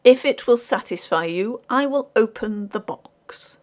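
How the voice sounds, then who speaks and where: A proud young gentleman from a storybook, as a woman acts him out, speaks with lofty, formal diction. He is easily irritated and ironic, and his pitch moves about in a lively way. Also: measured pace. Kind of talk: audiobook character voice.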